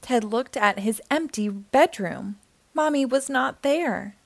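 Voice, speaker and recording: A woman hosting a storytime, reading a children's picture book aloud in an expressive, theatrical way. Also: sits high, slow pace, gravelly, no echo. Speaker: storytime host and reader.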